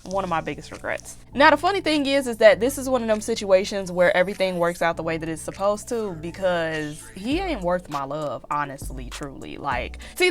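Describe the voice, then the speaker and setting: A woman in her twenties talking candidly in a casual confessional vlog, slightly raspy from allergies, with lots of fillers and restarts. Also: medium pitch, a bit bright. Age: 20s. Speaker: YouTube vlogger.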